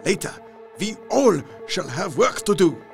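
An older professor and vampire hunter, gravelly and solemn. His voice swoops through a wide range as he speaks with deliberate, halting phrasing. He is grave and resolute, made for gothic audio drama. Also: sits high, very animated, faint music behind, exaggerated voice. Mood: grave, urgent.

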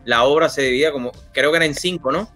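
Male talk-show host, slightly high voice, chatty and opinionated, lots of "eh". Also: very fast, a bit bright, soft background music. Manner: rambling, opinionated, many fillers. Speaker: interview host. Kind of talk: conversational interview.

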